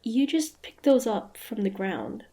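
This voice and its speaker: Girl voice acting a proud tsundere huntress, fantasy audio drama, mellow soft tone, slow pace, guarded n easily flustered. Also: medium pitch, very animated, vocal fry, a little hiss or hum. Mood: wary, puzzled. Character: proud, suspicious young female hunter, grudging and mildly tsundere.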